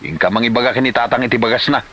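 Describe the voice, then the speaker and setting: A man's voice: mid-range voice, racing, fairly bright tone, natural voice. A man acting a lazy, hungry gambler husband who freeloads, in an Ilocano drama. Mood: demanding. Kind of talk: Ilocano comedy drama dialogue.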